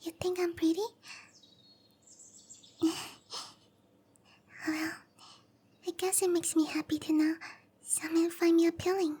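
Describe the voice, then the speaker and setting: Woman voicing a tiny, shy, very high-voiced cowgirl. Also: flat delivery. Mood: flustered, bashful. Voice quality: very high, soft, breathy girlish voice. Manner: bashful, hesitant, slow and gentle. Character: shy, sweet, petite young cowgirl monster girl with nature magic. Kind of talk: anime-style ASMR roleplay.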